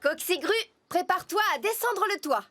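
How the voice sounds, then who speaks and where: Music runs under a confident, expressive cartoon workmate. Her voice is high and lively in pitch, full of enthusiasm. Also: normal speed. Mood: brisk. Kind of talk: French children's cartoon dub. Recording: music behind voice.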